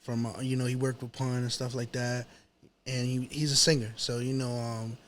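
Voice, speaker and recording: A man's voice: mid-range voice, flat delivery, moderate pace, a little hiss or hum. A man with a New York accent speaking informally and with slang as a guest in a casual interview. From New York City. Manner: informal, slangy, repeats himself. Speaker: rapper, podcast guest.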